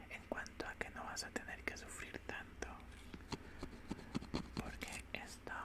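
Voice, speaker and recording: A man plays a calm alien examiner in an ASMR medical roleplay, speaking in a breathy whisper right at the microphone. His delivery is very slow and soothing, with short phrases gently repeated in a clinical manner. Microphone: close desk microphone.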